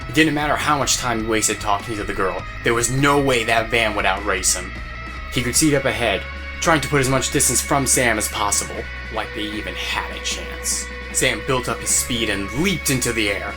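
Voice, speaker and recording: A man reads scripted story narration for an audio drama. His voice sits at a medium pitch, with clearly audible guitar music behind it. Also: normal speed, poor audio.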